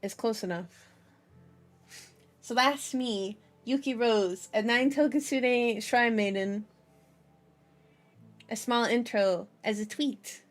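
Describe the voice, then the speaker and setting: A woman's voice: a little high, slow, pauses often, faint music behind. A young woman streamer chatting casually in a playful, silly, giggly manner with cutesy slang, like she is hosting a livestream introduction. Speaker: VTuber streamer, channel host.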